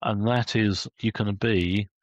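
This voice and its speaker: An older man with flu, croaky, joining an online panel late, talking in a rambling, self-deprecating way over a video call. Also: fairly low pitch, fairly even intonation, takes it slowly, no echo. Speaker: panel participant.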